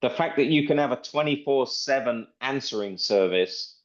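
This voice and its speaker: Man pitching software, dull lo-fi audio. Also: lively intonation, normal speed, a loud crowd. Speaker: presenter demonstrating software. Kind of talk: casual sales presentation.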